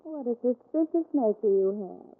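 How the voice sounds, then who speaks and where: Young wife, playful, coaxing, animated high-ish voice, vintage radio drama, tinny sound. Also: female voice, moderate pace. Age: young adult. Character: spirited, teasing young detective's wife and partner. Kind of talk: 1940s radio drama acting. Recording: tinny, band-limited 1940s radio recording.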